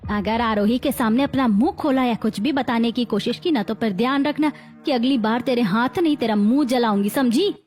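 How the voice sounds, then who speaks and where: Villainous middle-aged mother-in-law for a moral story, female actor: high voice, commanding, cruel, scheming. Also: normal speed, a little mellow, faint music behind, natural voice. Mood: menacing. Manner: menacing, commanding.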